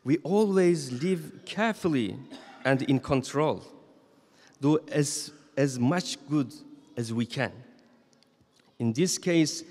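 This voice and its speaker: A man in his thirties giving a Friday sermon as imam, reading from notes in a measured, solemn way at a stand microphone in a large mosque hall. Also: on the high side, a little mellow. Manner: measured, solemn reading with slow emphatic phrases. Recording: long reverb of a mosque hall.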